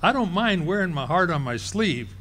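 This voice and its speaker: Male actor as a high-voiced, blustering, stammering comic husband in a 1940s radio-style play. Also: sing-song, moderate pace, a bit bright, exaggerated voice. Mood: wary. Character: boastful bumbling middle-aged husband. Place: ballroom stage performance.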